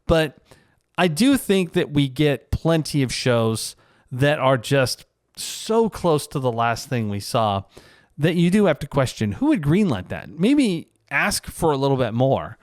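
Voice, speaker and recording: A man with a mid-pitched voice talks as a vlogger in a casual opinion monologue. His delivery is conversational and self-deprecating. The sound is dry, with very little room to it. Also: sing-song, measured pace, dry recording.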